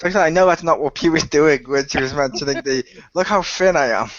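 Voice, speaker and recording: A man's voice: a little high, fast, fairly bright tone, no echo. A man, a cast member of a radio play, joking crudely and laughing in a casual online group chat over muffled audio.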